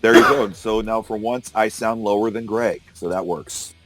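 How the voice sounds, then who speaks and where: Hoarse low-voiced man hosting. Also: steady tone, normal speed, a bit bright, faint music behind. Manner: argumentative, incredulous, laughs often. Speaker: podcast host. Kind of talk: casual political discussion. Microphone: call recorder.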